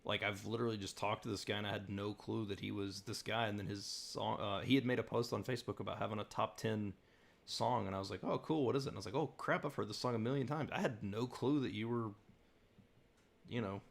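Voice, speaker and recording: A man's voice: on the low side, steady tone, fairly quick, creaky. A man from the southern United States hosting a casual livestream Q&A, chatty and rambling, laughing often. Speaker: host.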